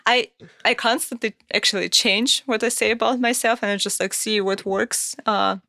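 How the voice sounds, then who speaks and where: A woman's voice: a little high, steady tone, normal speed, creaky, sharp tone, no room sound, studio quality. A woman in her thirties who grew up in Russia, talking casually as a guest musician, with many fillers and restarts. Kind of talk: casual interview conversation.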